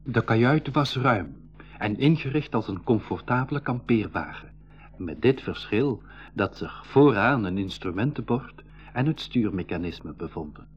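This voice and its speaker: A man playing the narrator of an adventure in an educational radio drama, with a Flemish accent and a measured, descriptive storytelling manner. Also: lowish voice, lively intonation. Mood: descriptive, intrigued. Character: boy hero narrating his adventure afterwards. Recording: dull 1980s radio drama recording.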